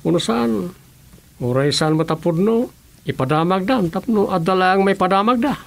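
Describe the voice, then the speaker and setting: A man's voice: sits high, normal speed, vocal fry, faint music behind. A man playing a world-weary village official in a conversational radio drama style. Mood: exasperated. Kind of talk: radio drama dialogue in Ilocano.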